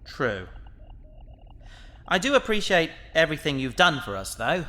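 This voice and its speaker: A man's voice: on the high side, sing-song, a little slow, sharp tone, heavily acted. A man playing an earnest, determined human spacefarer in a science fiction audio drama, sincere and slightly awkward. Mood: earnest, friendly.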